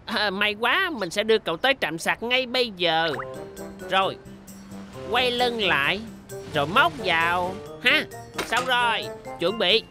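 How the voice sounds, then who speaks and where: A woman voicing an earnest, easily embarrassed tow truck in a children's cartoon, expressive and a little hesitant. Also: medium pitch, a little slow, lightly acted. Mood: cheerful, busy. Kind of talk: dubbed children's cartoon acting. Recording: music behind the voice.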